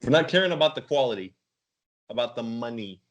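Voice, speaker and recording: A man's voice: mid-range voice, animated, a little fast. A man hosting a podcast game in a casual quiz style.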